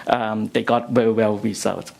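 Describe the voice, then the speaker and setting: A man's voice: lowish voice, level tone, creaky, darkish tone. Man from Hong Kong interpreting into English. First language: Cantonese. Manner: halting non-native English with frequent fillers. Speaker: interpreter and academic. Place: lecture room.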